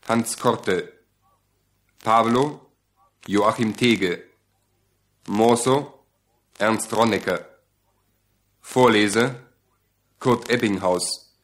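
A man making a formal radio announcement as he reads credits, measured in delivery. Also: fairly low pitch, fairly bright tone.

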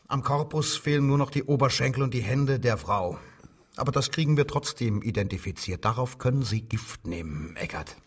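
A male actor playing a coldly insistent wartime German police detective in a radio crime drama, with a husky voice and a sardonic, interrogating manner. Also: mid-range voice, far from the mic. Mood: sardonic, goading.

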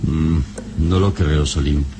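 An actor playing a wise, calm, heroic adventurer in a solemn, measured, theatrical radio-serial style. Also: male voice, rumbling low, music in the background. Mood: mysterious, resolute. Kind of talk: old radio serial acting.

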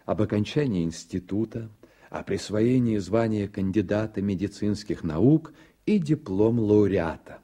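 A male storyteller narrating a radio play in scripted literary prose. His voice is low and very mellow, with a husky grain. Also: animated, unhurried.

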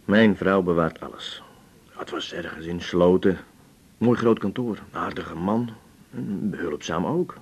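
An actor playing a weary, gullible travelling salesman in his fifties, speaking hesitantly and plainly in a radio drama, with a husky voice. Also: low-pitched, full character voice. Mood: earnest, sheepish. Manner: hesitant, plain-spoken, halting short sentences. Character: gullible, weary 56-year-old male travelling glue salesman. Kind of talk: naturalistic radio drama acting.

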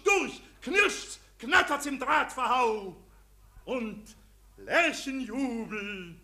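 An actor playing a fanatical war poet declaiming martial verse with bombast in a 1940s radio play. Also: male voice, bright, forceful tone, full character voice. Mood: fanatical, exalted. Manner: declamatory, shrill, martial fervour.

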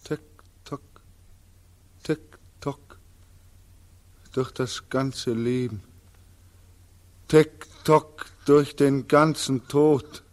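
Slow, haunted war returnee. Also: male voice, mid-range voice, steady tone. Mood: feverish dread. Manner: anguished, incantatory, dramatic. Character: despairing war returnee Beckmann, haunted, exhausted, bitter. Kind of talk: 1940s German radio play acting, declaimed monologue.

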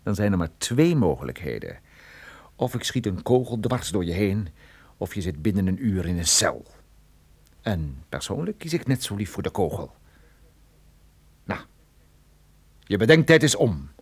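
An actor playing a tough, sardonic private detective in a radio drama, menacing and dryly sarcastic. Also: male voice, sits low, very animated, moderate pace, pauses often, breathy edge, full character voice. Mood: cold, menacing. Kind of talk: radio drama acting with first-person narration.

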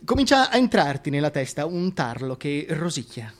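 An actor playing a bitter, scheming, deformed Shakespearean duke who pities himself, moving between declaimed verse and hushed confession in a radio drama. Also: male voice, fairly high pitch, very animated, moderate pace. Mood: wounded self-pity. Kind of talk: theatrical radio drama monologue.

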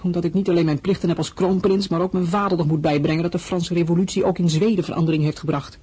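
An actor playing a young crown prince in a radio play, formal and earnest, sometimes getting heated. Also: male voice, fairly high pitch, steady tone, fast, mellow, husky. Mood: weary.

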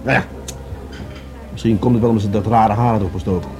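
An actor playing a grumbling police adjutant in a Dutch radio drama, halting and deferential to his superior. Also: lowish voice, fairly even intonation, over music, lightly acted. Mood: grumbling, hesitant.

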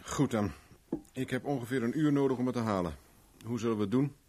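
A man's clipped, businesslike voice of middling pitch carries this radio-play detective, performed by an actor. He is persistent and brusque, used to giving orders and keeping cool. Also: fairly quick, darkish tone, close to an everyday voice. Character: brusque, persistent male private investigator, cool under pressure. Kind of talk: 1970s Dutch radio drama acting.

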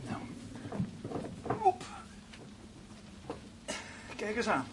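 An actor playing a tense, evasive husband in a radio play, with a husky, hesitant, placating way of talking. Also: male voice, high voice, wildly swooping pitch, extremely slow, background noise, exaggerated voice. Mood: placating, dismissive. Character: tense, evasive husband taking a nervous woman away. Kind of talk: Dutch radio play acting.

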